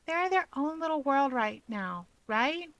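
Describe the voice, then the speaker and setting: Woman playing a high-voiced, wry young woman. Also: animated, unhurried, a bit bright, exaggerated voice.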